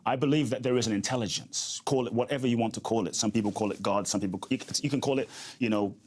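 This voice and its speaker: A man's voice: medium pitch, fast talker. A man, a guest speaker talking earnestly and reflectively in an interview, often repeating his key phrases. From grew up in London. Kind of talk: interview conversation.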